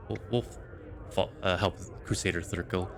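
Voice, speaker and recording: A male game streamer talking casually. His voice is fairly low and his delivery halting. Faint game music plays behind him. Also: fairly even intonation, gravelly. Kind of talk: casual gameplay chat.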